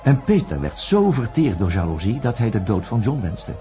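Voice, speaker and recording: An actor playing an earnest, troubled doctor in a radio drama, speaking formally and deliberately. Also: male voice, lowish voice, big pitch swings, mellow, faint music behind. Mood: pensive, grave. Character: earnest, troubled male doctor investigating astronauts, indignant when challenged. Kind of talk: radio play acting with first-person narration.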